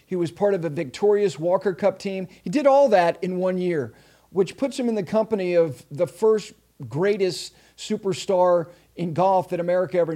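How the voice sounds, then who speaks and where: A man hosting an interview show, introducing his guest with emphasis and asking questions with halting restarts. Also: high voice, warm tone. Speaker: podcast host.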